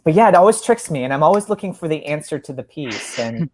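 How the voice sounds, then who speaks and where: A woman hosting a casual literary discussion, speaking in a halting way and often repeating words. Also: deep voice, very animated, slightly rough. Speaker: podcast host.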